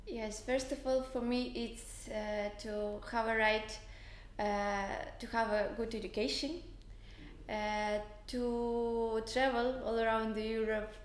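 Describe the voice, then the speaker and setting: A woman's voice: a little high, fairly even intonation, takes it slowly, roomy sound, slight background noise. A woman from Russia giving hesitant interview answers with many fillers. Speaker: event participant. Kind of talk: casual on-camera interview.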